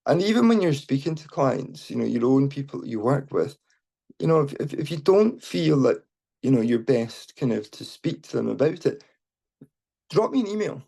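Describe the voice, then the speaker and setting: A man's voice: medium pitch, big pitch swings, normal speed, gravelly, mellow. A man with a Scottish accent, a charity team lead, giving an informal unscripted talk over a mobile phone to an online meeting, with lots of fillers. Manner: informal, with many fillers and restarts.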